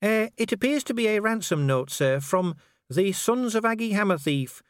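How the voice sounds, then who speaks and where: A man's voice: high-pitched, lively intonation, normal speed, no echo, clean studio sound, pitched above the performer's own voice. A man voicing a careful, deferential female dwarf police corporal in an audiobook, polite and hesitant. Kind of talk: audiobook character acting.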